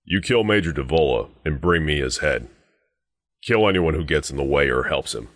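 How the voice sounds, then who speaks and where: A man voicing a gruff, menacing and suspicious guard sergeant in a video game, curt, commanding and dryly sardonic. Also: very deep voice, expressive, normal speed, a bit bright, no room sound, clean studio sound. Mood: blunt, businesslike. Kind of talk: scripted game dialogue.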